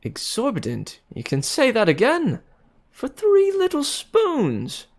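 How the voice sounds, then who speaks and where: A man's voice: mid-range voice, animated, slow pace, voice pushed up. Man reading a hearty, good-humored young groom. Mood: brisk.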